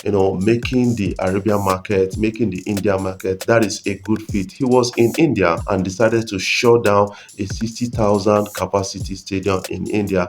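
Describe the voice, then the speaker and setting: A man's voice: lowish voice, normal speed, few pauses, a little hiss or hum. Young man giving news commentary. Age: young adult. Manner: steady, emphatic commentary. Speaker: entertainment news vlogger. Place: indoor room.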